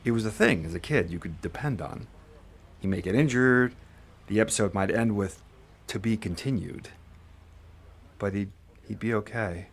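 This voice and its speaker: An actor playing a weary, stubborn, reluctant hero in naturalistic audio drama dialogue, plain-spoken and doubtful. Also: male voice, fairly low pitch, normal speed, pauses often, husky. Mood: reflective, doubtful.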